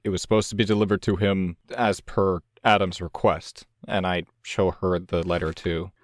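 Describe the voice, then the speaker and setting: A man with a fairly low voice plays a tabletop game. He is casual and deadpan as he describes actions and speaks in character. The recording is dry. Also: normal speed, no room sound. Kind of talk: casual in-character play and banter.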